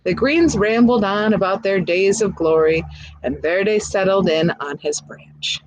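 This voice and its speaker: A woman reading a picture book aloud expressively, pausing to chat to young listeners and ask them questions. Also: mid-range voice, no room sound, low-quality recording. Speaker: storybook reader.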